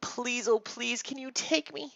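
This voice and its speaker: A man's voice: very high voice, steady tone, a little slow, vocal fry, bright, forceful tone, no room sound, pitched above the performer's own voice, exaggerated voice. A man voicing an eager little boy who begs and gushes breathlessly in a storytelling performance. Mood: excited pleading. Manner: breathless, repetitive begging and gushing.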